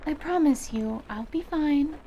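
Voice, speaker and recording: A woman's voice: sits high, a little slow, dark tone, a little hiss or hum. A woman playing an earnest, scholarly mage and teacher in a fantasy audio drama, explaining in precise, formal words. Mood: reassuring.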